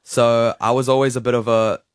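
A man's voice: medium pitch, flat delivery, fairly quick, clear, resonant tone, no room sound, studio quality. A seventeen-year-old young man from New Zealand chatting casually as a radio guest, in informal, slangy speech. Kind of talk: casual chat.

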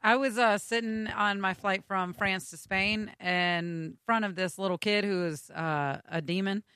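An American woman on a radio show, spinning a lively story in an animated, chatty way. Her voice is bright and forward, and it sounds dry and close to the mic with no room around it. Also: mid-range voice, unhurried, clear, resonant tone, no echo. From United States. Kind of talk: casual chat.

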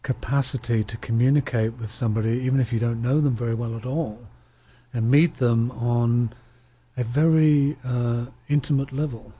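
A man hosting a conversational interview, his voice mellow and a little husky. He speaks hesitantly, repeating words and restarting his phrases as he thinks. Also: medium pitch, steady tone, moderate pace.

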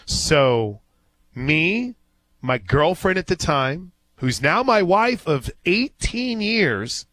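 A man's voice: fairly high pitch, big pitch swings, drawn-out words, a bit bright, dry recording, low-quality recording. A man hosting a live sports radio show, telling stories in an easygoing, folksy way. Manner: easygoing storyteller, folksy asides.

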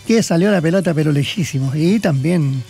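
A man chatting casually about football results on a talk show, rambling with fillers and pauses. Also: a little high, gravelly, mellow, soft background music. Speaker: sports commentator on a talk show.

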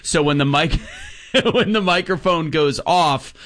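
A man in his thirties with a loud voice, telling energetic stories as a guest on a talk radio show. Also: fairly high pitch, unhurried. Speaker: guest, crowd hype man.

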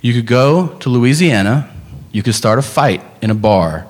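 Animated, incredulous male stand-up comic. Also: medium pitch, normal speed. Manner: incredulous, emphatic, casually profane storytelling. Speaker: stand-up comedian. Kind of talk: live stand-up comedy. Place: live comedy stage.

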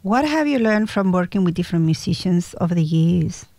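A woman's voice: lowish voice, animated, brisk, mellow, no echo. A woman hosting a radio interview in a studio, reading out prepared questions with brief replies in between. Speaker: radio host.

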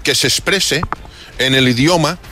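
A man's voice: on the high side, unhurried, sharp tone, slight background noise. A man from discussing sport on a radio panel, with careful wording and conversational asides. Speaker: sports commentator.

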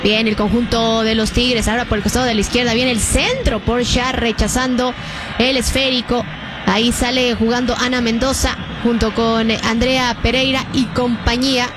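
A woman's voice: a little high, level tone. A woman calling a live football match as play-by-play commentator, speeding up and rising with the action. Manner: fast, rising with the action, many fillers. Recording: broadcast with noisy background.

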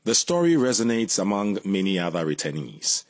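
A man hosting a broadcast interview in a polite, measured way. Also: on the low side, big pitch swings, dry recording. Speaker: radio host.

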